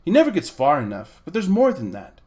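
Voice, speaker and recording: Storybook schoolboy, played by a man: plaintive, wound-up, highish voice with lively swings. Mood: secretive, excited. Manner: wound-up, plaintive, talks in rushes. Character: miserable, indignant young boy, blurting out troubles.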